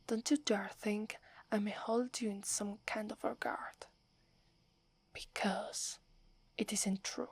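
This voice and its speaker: A woman with an Italian accent voicing a proud young werewolf woman, whispering softly close to the mic and stammering when flustered. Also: medium pitch, steady tone, slow, pauses often, slightly rough. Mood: impatient. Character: proud young werewolf woman, gruff but secretly fond. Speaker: ASMR roleplay performer. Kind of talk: whispered ASMR roleplay.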